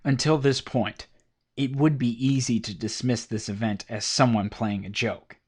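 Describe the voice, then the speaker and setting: A man narrating a horror story in a steady, scripted, documentary-like way. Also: mid-range voice, normal speed, husky. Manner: steady documentary-style telling.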